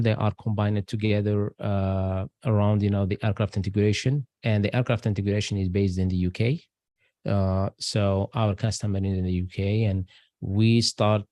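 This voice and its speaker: Halting man with a dark, dry voice. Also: lowish voice, no room sound. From Algeria and France. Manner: halting, many fillers and restarts. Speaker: guest, aerospace engineer. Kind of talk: casual interview talk.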